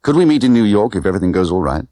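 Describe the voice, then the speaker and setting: A man's voice: on the low side, big pitch swings, racing, dark tone, no room sound, studio quality. A man voicing a cool, easygoing British secret agent, unruffled, laconic and lightly teasing. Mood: smooth, teasing.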